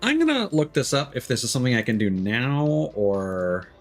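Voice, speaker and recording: A man's voice: medium pitch, huge pitch swings, moderate pace, faint music behind. A man giving casual livestream commentary on a video game, rambling and thinking aloud.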